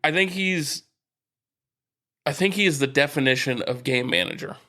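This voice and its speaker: A man's voice: a little high, normal speed, sharp tone. An American man co-hosting a sports podcast, talking casually and reasoning through his opinions in a conversational way.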